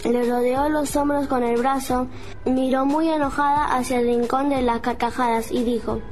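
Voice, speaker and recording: A woman narrating a children's radio play in an expressive storytelling manner. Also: high-pitched, moderate pace, faint music behind. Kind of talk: storybook reading.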